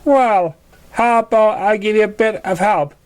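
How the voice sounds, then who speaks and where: A man's voice: sits high, unhurried, voice pushed up. A man voicing a kindly, playful older-sister pony in a storybook reading. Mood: encouraging.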